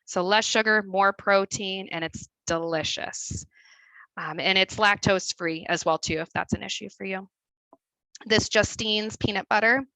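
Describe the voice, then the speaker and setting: Bright, crisp Midwestern woman dietitian. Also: medium pitch, measured pace, dry recording, rough recording. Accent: Midwestern American. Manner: chatty, with many fillers. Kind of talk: webinar presentation. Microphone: video call.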